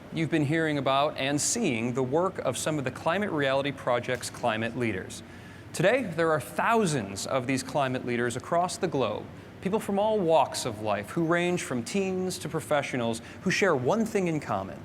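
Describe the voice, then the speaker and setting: A man's voice: on the high side, sing-song, moderate pace, a little hiss or hum. Upbeat male show host. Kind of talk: scripted show presenting.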